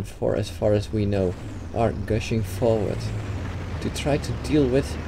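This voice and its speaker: A young man from the Netherlands with a Dutch accent, talking over a game replay with hesitant fillers and restarts. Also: mid-range voice. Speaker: gaming YouTuber. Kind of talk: gameplay commentary over a replay. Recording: game noise behind the voice.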